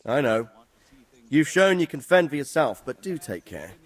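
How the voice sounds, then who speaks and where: An actor playing a middle-aged, protective noble father who gives measured, instructive advice in a game. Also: on the high side, sing-song. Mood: concerned. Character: protective, wise noble father advising his son. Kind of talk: dramatic game cutscene acting.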